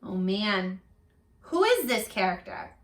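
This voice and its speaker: A woman reading aloud to children as a teacher, expressive and addressing them directly with prompting questions. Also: medium pitch, slow, reverberant.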